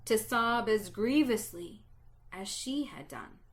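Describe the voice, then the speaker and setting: A woman reading a story aloud with dramatic pauses, dropping to a hush at the sad parts. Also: a little high, expressive. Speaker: reader.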